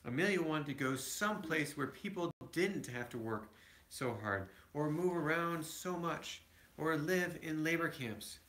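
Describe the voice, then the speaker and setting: A man's voice: a little high, animated, fairly bright tone. Echoey man reading a picture book aloud. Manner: halting, with small stumbles and asides to children. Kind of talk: read-aloud storytelling with casual asides. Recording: echoey room, home recording.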